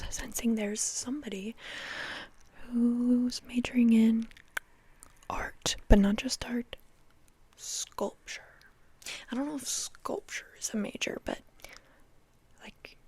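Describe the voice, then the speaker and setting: A woman's voice: fairly high pitch, steady tone, measured pace, pauses often, darkish tone, husky, no room sound. A young woman whispering close to the mic in a playful, chatty ASMR sleep talk, often repeating words. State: dry mouth. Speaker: ASMR host.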